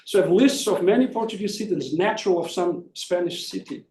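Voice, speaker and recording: High-pitched man presenting in an echoey room. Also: fast talker, darkish tone, a little hiss or hum. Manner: explanatory, often tags points with "right?". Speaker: academic presenter answering questions. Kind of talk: spontaneous academic question-and-answer. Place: indoor conference room. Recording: echoey room, distant mic.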